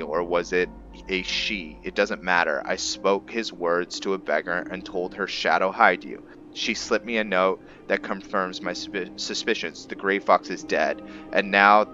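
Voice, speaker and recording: Deep, slow-talking man with music behind. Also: pushed and bright, highs cut off. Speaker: gameplay video host. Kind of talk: roleplay game commentary with some reading aloud.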